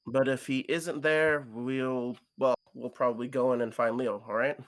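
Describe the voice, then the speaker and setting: A man voicing a cautious, protective young male friend in a visual novel, hesitant and low-key. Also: level tone, normal speed, lightly acted. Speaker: co-reader voicing a character on stream.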